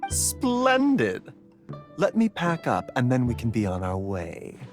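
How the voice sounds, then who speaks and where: An actress playing a courteous, effusive pharmacy doctor in a video game, with a polite theatrical manner. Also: female voice, extremely low pitch, wildly swooping pitch, takes it slowly, over music, full character voice. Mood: courteous, pleased. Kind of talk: video game cutscene acting.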